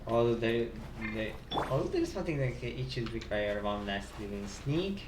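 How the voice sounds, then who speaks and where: A woman's voice: extremely low pitch, sing-song, moderate pace, noisy background. A woman game streamer chatting casually while she plays live. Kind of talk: casual live gameplay chat. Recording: roomy distant mic under loud music. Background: loud game music.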